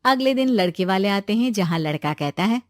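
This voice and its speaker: A woman's voice: mid-range voice, animated, fairly quick, no room sound, studio quality. A woman narrating a scripted moral story as a storyteller.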